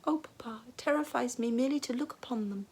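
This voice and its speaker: A woman's voice: on the high side, quick pace, darkish tone, breathy edge, pitched above the performer's own voice. A woman voicing a scheming young princess with a melodramatic, simpering manner.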